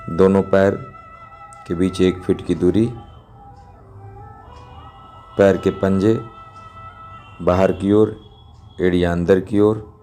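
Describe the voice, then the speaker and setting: A man with a low, mellow voice guides a relaxation meditation at a very unhurried pace. He gives calm instructions in short, measured phrases. Instrumental music plays behind him. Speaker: yoga nidra instructor.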